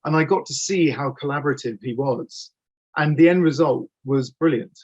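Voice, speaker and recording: A man's voice: fairly high pitch, moderate pace, slightly rough. A man in his fifties talking casually and enthusiastically as an interview guest, telling anecdotes over a video call from a home office. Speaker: audio drama producer, interview guest. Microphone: computer mic on video call. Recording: dull, lo-fi video call audio.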